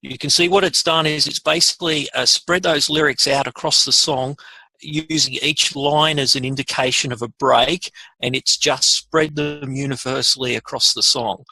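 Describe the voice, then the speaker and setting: A man presenting a casual software tutorial, informally thinking aloud as he demonstrates each step. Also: medium pitch, steady tone, normal speed, no echo, low-quality recording. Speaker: webinar presenter.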